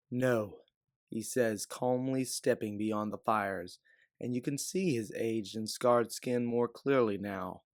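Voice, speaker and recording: A man's voice: on the low side, no room sound. Man narrating, very dry recording. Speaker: storyteller. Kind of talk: dramatic second-person scripted narration.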